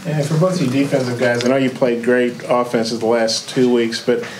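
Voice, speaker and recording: A man's voice: mid-range voice, flat delivery, normal speed, a little mellow. A man working as a sports reporter, asking conversational, multi-part questions at a press conference. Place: indoor press conference room. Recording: noisy press room.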